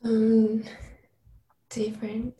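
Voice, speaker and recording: Young woman, flat slow voice, soft and unsure, echoey room with faint hiss. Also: fairly high pitch. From Spain. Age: young adult. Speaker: interviewee, student. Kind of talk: short interview answers.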